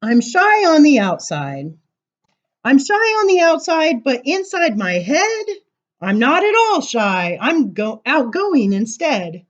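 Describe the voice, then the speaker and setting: A woman reading poems aloud to children as a storytime librarian, with animated, sing-song emphasis and casual asides. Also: high-pitched, takes it slowly, muffled recording.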